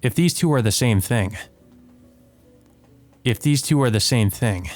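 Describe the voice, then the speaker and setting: A man's voice: medium pitch, lively intonation, measured pace. A man hosting a let's play, reading visual novel narration aloud and adding casual commentary. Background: music behind the voice.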